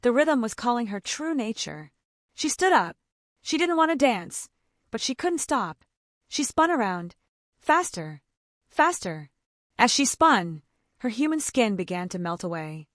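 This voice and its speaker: A woman storyteller narrating a scripted folktale in a theatrical, suspenseful manner with short measured phrases. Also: on the high side, very animated, a bit bright, dry recording, clean studio sound.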